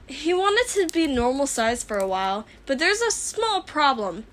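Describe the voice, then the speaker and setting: A woman's voice: high-pitched, sing-song, normal speed, fairly bright tone. A woman voicing an earnest, eager, easily exasperated teenage boy in a fan fiction audiobook. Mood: sheepish, urgent.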